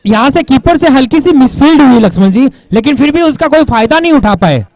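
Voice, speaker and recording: बहुत ऊँची आवाज़ वाला क्रिकेट कमेंटेटर. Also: male voice, extremely high pitch, lively intonation, a little fast, a little mellow, no room sound. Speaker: cricket commentator and announcer. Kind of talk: live match commentary with rhyming quips.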